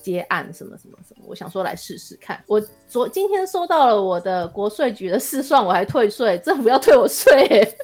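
A woman with a Taiwanese Mandarin accent in casual podcast chat, animated and self-deprecating as an author guest. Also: mid-range voice, fairly quick, hardly any pauses, faint music behind.

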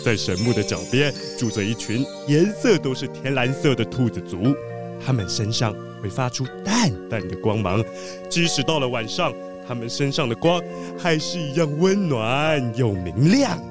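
A man playing a polite, excitable treasure collector in a children's audio drama, with a dramatic storytelling manner. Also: medium pitch, moderate pace, music in the background, natural voice. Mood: wondrous storytelling.

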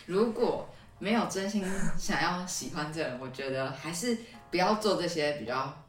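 A woman's voice: deep voice, lively intonation, normal speed, faint music behind. A woman co-hosting a podcast, explaining things in a conversational way with repeated connecting words and agreements. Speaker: podcast co-host. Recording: roomy echo, slightly distant mic.

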